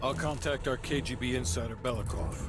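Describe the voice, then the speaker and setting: A man's voice: mid-range voice, a little fast, lightly acted. A man playing a stern, irritable intelligence handler in a game cutscene, with a firm, clipped delivery. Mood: businesslike. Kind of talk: dramatic game cutscene acting. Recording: loud music behind the voice.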